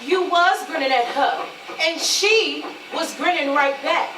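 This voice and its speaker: A woman acting a sassy, jealous, sharp-tongued woman in a stage play, with a scolding manner. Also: sits high, a little slow. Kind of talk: readers theatre stage acting. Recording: echoey, distant, noisy ambience.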